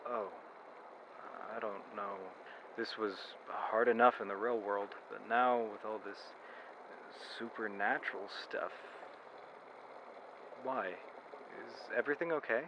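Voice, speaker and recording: Weary, low-pitched young man in a supernatural mystery audio drama; noisy recording. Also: steady tone, takes it slowly, long pauses, muffled recording. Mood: casual, tender. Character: weary young man narrating and searching for a missing girl. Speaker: narrator and character in supernatural mystery podcast. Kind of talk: audio drama acting with reflective narration.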